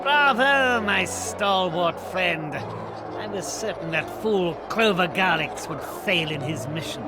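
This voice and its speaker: An actor playing a scheming, vengeful Roman senator in a cartoon game, with a theatrical, gloating delivery. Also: male voice, sits high, expressive, slow pace, over loud music. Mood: smug, scheming. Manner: theatrical, gloating, prone to shouting.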